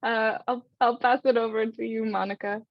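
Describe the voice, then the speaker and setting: A woman hosting and moderating an online panel discussion, speaking conversationally with frequent hesitant pauses. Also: a little high, fairly even intonation, no echo, highs cut off. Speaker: host and moderator of an online event.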